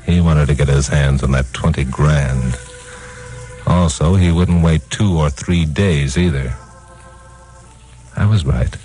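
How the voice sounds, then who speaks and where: A man's voice: rumbling low, slow, pauses often, dark tone, faint music behind. A man acting and narrating in a 1950s radio drama as a confident, hard-boiled newsman investigator. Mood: wry narration. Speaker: lead character and narrator.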